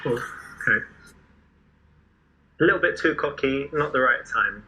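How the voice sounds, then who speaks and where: A man's voice: medium pitch, a little slow, a little hiss or hum, poor audio. A young man from England, his London accent mostly faded, chatting playfully as he demonstrates how to talk to a woman. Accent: mostly faded London English. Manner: chatty and playful, with relaxed fillers. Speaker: dating coach demonstrating an approach. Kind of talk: casual street conversation.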